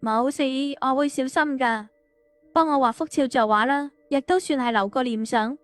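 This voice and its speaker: Audiobook role: actress as young woman, casual and understated, rather high voice, close dry recording. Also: normal speed, dry recording, faint music behind, lightly acted. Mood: resigned. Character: young woman, wistful, casual, teasing friend. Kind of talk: audiobook drama dialogue.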